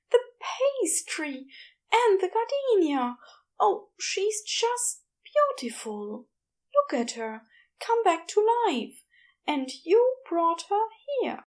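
A woman plays a gentle, fussy man for an audiobook. The voice sits high and swings freely in pitch. Delivery is unhurried, prim and full of affectionate exclamation. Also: exaggerated voice. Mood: delighted. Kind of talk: audiobook character acting.

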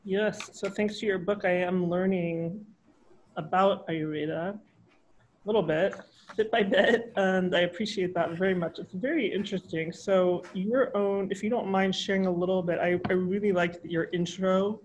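Casting: friendly-sounding interviewer, halting encouraging questions, faint hiss behind. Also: mid-range voice, steady tone. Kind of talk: conversational interview.

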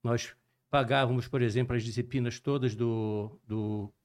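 An older man with a mixed Minas Gerais and Rio Brazilian accent, a cardiologist guest telling his story in a measured, detailed, professorial way. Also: mid-range voice, fairly even intonation. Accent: mixed Brazilian Portuguese, Minas Gerais and Rio de Janeiro. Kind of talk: relaxed storytelling interview.

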